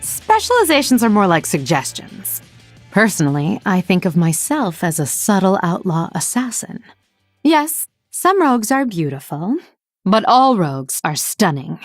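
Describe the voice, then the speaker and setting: Sardonic female elf assassin, game character, teasing and theatrical, very expressive pitch, music underneath. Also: measured pace, no room sound. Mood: playful, cocky. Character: sly, sardonic young-looking female elf rogue assassin. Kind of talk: video game character voice lines.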